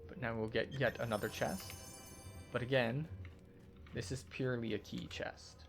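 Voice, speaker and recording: A man's voice: mid-range voice, steady tone, moderate pace, vocal fry, music in the background. A man giving walkthrough commentary on a video game as a tour guide.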